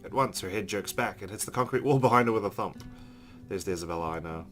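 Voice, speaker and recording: A man with a lowish voice hosts a let's play. He reads the game's narration aloud and drops in casual asides, with faint music underneath. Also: fast, fairly bright tone.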